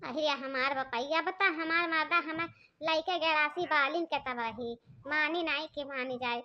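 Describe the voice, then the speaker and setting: A woman voicing a defensive, squabbling married villager in a comic cartoon, excitable and repetitive, with an eastern Uttar Pradesh accent. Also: high voice, a little slow. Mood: pleading, defensive. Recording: dull lo-fi audio.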